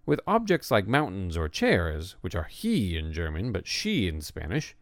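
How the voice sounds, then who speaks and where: A man reading an essay aloud as scripted narration, in a measured, lively way with pointed emphasis on words. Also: sits low, no echo, clean studio sound.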